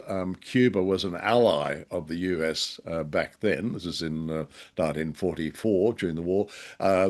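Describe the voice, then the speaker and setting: A man co-hosting an unscripted discussion, speaking in hesitant, halting phrases with a polite, wryly self-deprecating manner. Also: low voice. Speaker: podcast co-host. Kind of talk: unscripted discussion of old-time radio drama.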